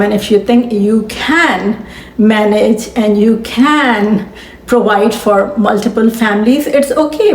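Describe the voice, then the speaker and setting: A woman from Pakistan, making an earnest, firm argument in opinion commentary, pausing to reason through each point. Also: medium pitch, a little mellow, reverberant, a little hiss or hum, poor audio.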